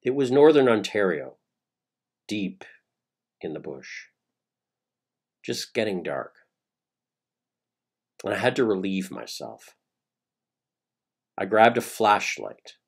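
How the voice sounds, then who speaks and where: A middle-aged Canadian man performs an autobiographical monologue with a husky edge. His delivery swings widely in pitch as he builds suspense through theatrical phrasing and deliberate pauses. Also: sing-song. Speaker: playwright reading his own play. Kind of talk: dramatic autobiographical monologue, storytelling.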